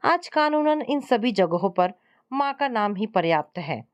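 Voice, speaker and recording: An irritated older father lays down his principles firmly, read by a female storyteller in a mid-pitched voice. He moralizes in the style of a domestic audio story. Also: sing-song, a little slow, muffled recording.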